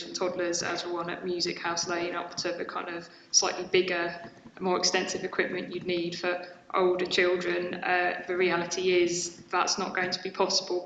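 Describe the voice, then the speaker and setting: Low, even-toned woman in an echoey chamber. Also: a little hiss or hum. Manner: measured, pausing with fillers. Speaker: councillor. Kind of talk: answering questions at a council meeting. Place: council chamber. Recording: echoey dull chamber recording.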